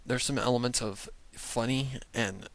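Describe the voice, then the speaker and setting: A reaction show host giving casual commentary in a halting, exclamatory way, with muttered asides. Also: mid-range voice, steady tone. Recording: faint music behind the voice.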